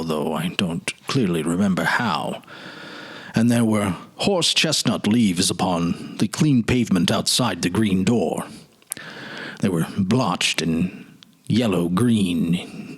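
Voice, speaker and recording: A man voicing a grave, wistful man nearing forty who slowly and reflectively confesses a memory in a dramatic story reading. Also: low voice, husky, voice pushed down, exaggerated voice.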